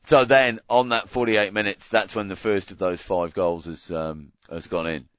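A low-voiced man co-hosts a hockey podcast, chatty and jokey, often restarting his sentences mid-thought. His voice comes through dry and slightly dull, with a lo-fi edge. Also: fairly even intonation, moderate pace, a bit bright, dry recording. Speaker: podcast co-host. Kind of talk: casual sports chat.

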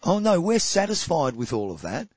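A man's voice: a little high, wildly swooping pitch, normal speed, slightly rough, no room sound, muffled recording. An Australian man co-hosting a casual podcast chat, blunt and sarcastic, often stumbling and restarting. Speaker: podcast co-host.

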